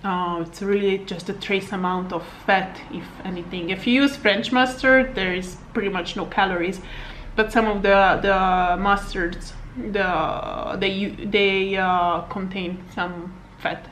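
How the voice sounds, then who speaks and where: A woman in her thirties with a Hungarian accent talks to a camera on her table in a living room, rambling in a casual, chatty way. Her voice sits at an ordinary middle pitch, and a faint hum of room noise stays under her words. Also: steady tone, measured pace, hardly any pauses, a bit bright. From Slovakia. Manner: rambling, chatty, frequent laughs and fillers. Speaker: fitness vlogger and coach. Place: home living room, indoors. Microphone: camera on table at arm's length.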